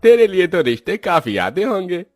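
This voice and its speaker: A man's voice: sits high, very animated, moderate pace, dry recording, studio quality. A man playing a kindly older priest in a cartoon story, with an avuncular, encouraging manner. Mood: approving, persuasive.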